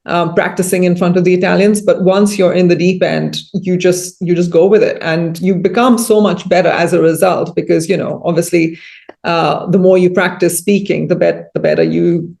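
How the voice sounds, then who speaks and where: A woman's voice: on the low side, fairly even intonation, normal speed, gravelly, darkish tone. A woman in her thirties talking casually as an interview guest on a video call, explaining thoughtfully and rephrasing as she goes. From India. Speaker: interview guest, agriturismo and winery owner. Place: indoor room, on a video call.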